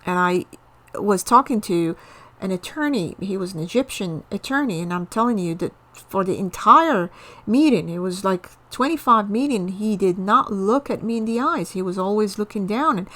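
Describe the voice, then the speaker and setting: A woman's voice: mid-range voice, animated, normal speed, a bit bright. A woman from Morocco, hosting an informal lecture on culture, earnest and explanatory, often checking in with the listener. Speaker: host, cross-cultural trainer.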